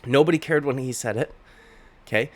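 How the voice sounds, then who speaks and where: A man's voice: medium pitch, a little fast, dry recording. Indignant man ranting. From Canada. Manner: indignant, ranting, repeats himself. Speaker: MMA commentary YouTuber. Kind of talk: opinionated reaction commentary.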